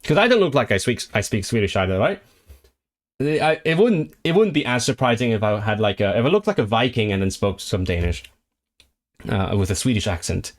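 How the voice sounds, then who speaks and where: A man at a home streaming desk, chatting to his viewers in a loose, rambling way. He keeps restarting his sentences and filling pauses, and he sounds eager about his subject. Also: fairly low pitch, big pitch swings. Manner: rambling, many restarts and fillers; enthusiastic about languages. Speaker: language livestreamer. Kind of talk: casual livestream chat, reading viewer comments.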